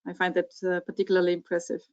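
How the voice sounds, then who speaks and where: A woman moderating an awards webinar, polite and brisk as she thanks and introduces speakers over a video call. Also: on the low side, completely flat delivery. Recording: dry, lo-fi video call audio.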